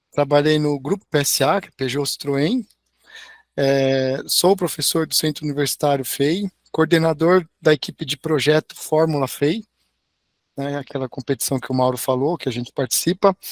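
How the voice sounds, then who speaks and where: Hoarse man lecturing online. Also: fairly high pitch, dry recording. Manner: friendly, tags sentences with "né?". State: hoarse, recovering from a sore throat. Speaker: engineering professor, guest lecturer. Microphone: video call.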